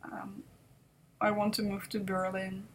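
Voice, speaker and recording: A young woman with a Dutch accent talks to her webcam in a soft, unsure voice, slowly feeling her way through each thought. Her tone has a gravelly fry at the edges. A faint hiss sits under the webcam sound. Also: medium pitch, completely flat delivery. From Netherlands. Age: 20s. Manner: soft-spoken, hesitant, self-doubting. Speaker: vlogger. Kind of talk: unscripted confessional vlog.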